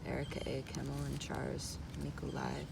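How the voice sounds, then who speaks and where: A creaky-voiced reader tells a folktale aloud. The voice sits a little away from the microphone, with clear noise behind it. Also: mid-range voice, monotone, fairly quick. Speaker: story reader. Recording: noisy, distant microphone.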